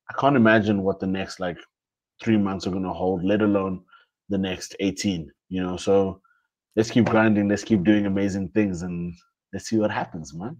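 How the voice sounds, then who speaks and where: A man's voice: low-pitched, a little mellow. A young man co-hosting a podcast, talking things over in a casual, thoughtful way, often restarting his phrases and dropping slang. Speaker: podcast co-host. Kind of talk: casual reflective chat. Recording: dull lo-fi sound.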